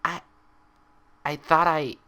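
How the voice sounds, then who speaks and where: Man voicing a nervous, stammering young mare, lifted pitch. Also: steady tone, sharp tone, smooth. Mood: nervous, evasive. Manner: hesitant, stammering, halting. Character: nervous, evasive young female pony, dazed and defensive.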